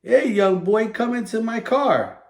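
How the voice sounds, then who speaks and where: A woman's voice: fairly high pitch, measured pace, crowd noise, pitched above the performer's own voice. A coaxing stranger, acted out by a woman calls out in a raised, luring voice. It is a simple lesson role-play in an echoey room.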